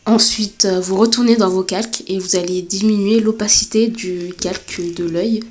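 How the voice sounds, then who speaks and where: A woman with a cold giving a step-by-step drawing tutorial, talking hesitantly with many filler pauses. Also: medium pitch, steady tone. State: has a cold. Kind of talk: screen-recorded step-by-step tutorial.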